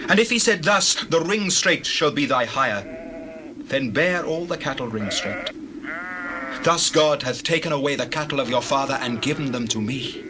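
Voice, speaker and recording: An actor playing an earnest, righteous shepherd in a dramatized Bible reading, with declamatory delivery. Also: male voice, on the high side, lively intonation, normal speed, natural voice. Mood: aggrieved, testifying. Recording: noisy scene with sheep and bird effects.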